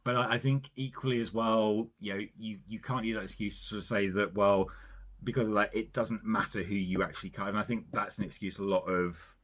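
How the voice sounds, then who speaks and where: Low-voiced man musing in casual chat. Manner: rambling, hedging with "you know" and "sort of". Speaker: podcast co-host. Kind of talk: casual film review chat.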